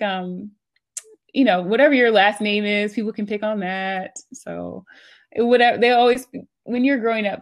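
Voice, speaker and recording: Chatty American woman telling personal stories. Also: medium pitch. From United States, Philadelphia area. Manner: chatty, informal, frequent "like" and "you know". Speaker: interview guest, coach. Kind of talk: casual conversational storytelling.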